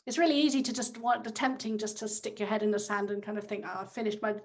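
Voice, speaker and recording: A woman in her thirties from England, hosting a round table discussion in a friendly, measured way with some fillers. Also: medium pitch, steady tone. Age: 30s. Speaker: discussion host.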